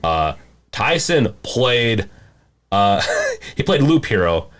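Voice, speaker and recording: A man's voice: on the low side, big pitch swings, slow, a bit bright. A man hosting a podcast in casual chat, rambling and a little hesitant as he goes. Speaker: podcast host.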